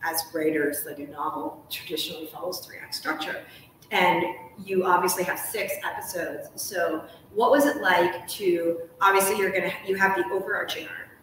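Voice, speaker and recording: A woman's voice: on the low side, steady tone, normal speed, fairly bright tone. A woman in her thirties hosting a casual live interview, talking in an enthusiastic, rambling way. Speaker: interview host. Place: hotel lounge with armchairs. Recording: echoey room, far from mic, noisy.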